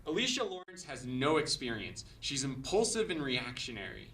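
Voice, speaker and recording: Man playing an insecure, animated young mayor. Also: medium pitch, moderate pace. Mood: defensive, indignant. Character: insecure, self-righteous young male city mayor. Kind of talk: comedic table read. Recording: distant mic, faint background noise.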